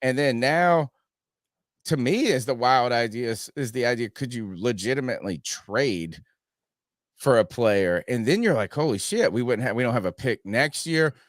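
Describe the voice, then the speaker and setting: A man's voice: mid-range voice, animated, normal speed, dry recording, clean studio sound. A man hosting a casual sports podcast, rambling through his points and swearing freely. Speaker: podcast host.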